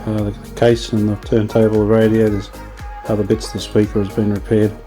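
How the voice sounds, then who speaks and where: A man's voice: on the low side, monotone, normal speed, dark tone, faint music behind. A man giving casual, plain-spoken narration of a hands-on restoration job, explaining it step by step without hurry. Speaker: restoration hobbyist presenting his own video.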